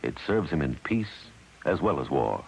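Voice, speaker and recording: A man with an American accent and a husky voice, reading a solemn scripted narration on a vintage radio broadcast. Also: very deep voice, big pitch swings, normal speed, darkish tone, slight background noise, low-quality recording.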